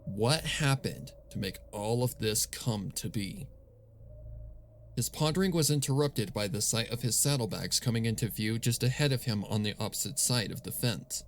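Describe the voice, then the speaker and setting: A man narrating scripted fan fiction as a storyteller, measured and solemn in his delivery. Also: medium pitch, slight background noise.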